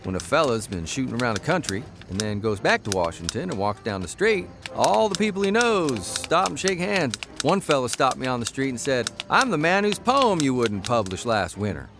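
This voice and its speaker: A man's voice: medium pitch, sing-song, moderate pace, hardly any pauses, over music. An actor playing a wry, folksy newspaper columnist who tells his own stories in a plain-spoken, conversational way for an audio drama. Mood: reminiscing. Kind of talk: first-person storytelling in audio drama.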